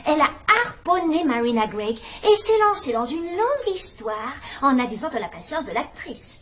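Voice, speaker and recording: A sharp-minded old spinster detective with a high, lively voice that swoops as she probes gently and wryly. She sounds gentle yet knowing, over a faint hiss. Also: normal speed. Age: older. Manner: gentle, wry, quietly probing. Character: shrewd elderly spinster sleuth, gentle and sharp. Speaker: amateur detective. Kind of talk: dubbed radio-style drama acting.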